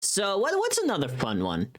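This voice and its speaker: A livestreamer with a mid-pitched, very animated voice chats casually to viewers. The delivery is playful and rambling, thinking out loud as ideas come, with loose, sweary language. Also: normal speed. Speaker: livestreamer and voice pack creator.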